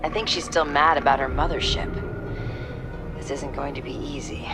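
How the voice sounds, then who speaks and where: A woman's voice: sits low, fairly even intonation, normal speed, lightly acted. A woman playing a tough, wry young covert-ops soldier in a video game, with a dry, guarded manner. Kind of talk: video game cinematic voice acting. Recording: faint music behind.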